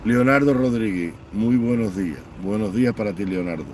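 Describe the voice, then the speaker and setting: A man's voice: lowish voice, brisk, noisy background. Bitter man ranting on a livestream. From Cuba. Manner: bitter, scornful, emphatic lists. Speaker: livestream host. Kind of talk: livestream chat, reading viewer comments and ranting. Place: home in Houston, Texas.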